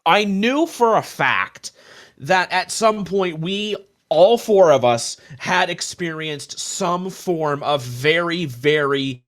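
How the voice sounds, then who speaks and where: A man's voice: fairly high pitch, lively intonation, takes it slowly, fairly bright tone. A young man podcast co-host in casual, personal discussion, stressing his points by repeating words.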